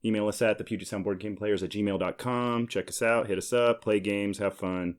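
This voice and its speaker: An American man in his forties chatting casually as a podcast host, jokey and given to excitable storytelling. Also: on the low side, a little fast. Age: 40s. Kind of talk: casual board game banter.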